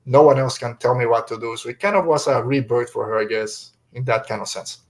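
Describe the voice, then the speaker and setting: A man's voice: medium pitch, a little fast. A man hosting a podcast in a casual, conversational way, talking things through and putting questions to guests. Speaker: podcast host. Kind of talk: casual podcast book discussion.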